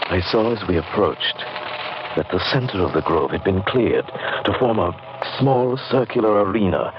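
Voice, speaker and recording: An actor playing an earnest gentleman narrator in an eerie old time radio drama, with measured, literary delivery. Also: low-pitched, expressive. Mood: tense wonder. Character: earnest gentleman narrator recounting eerie events, increasingly spellbound. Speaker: narrator and lead in a radio play. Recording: muffled, noisy old radio recording.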